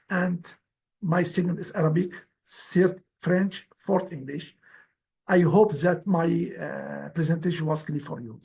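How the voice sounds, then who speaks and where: A man whose first language is Kurdish, giving an earnest, pleading presentation in halting, careful English. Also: fairly high pitch, gravelly, darkish tone, slightly rough. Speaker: guest speaker, former heritage director. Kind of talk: formal presentation in non-native English.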